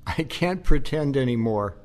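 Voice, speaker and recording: A man acting as a gentle, weary priest who coaxes and cares for his mother in an audio play. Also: medium pitch, animated, a little slow, natural voice. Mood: anguished. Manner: coaxing, earnest.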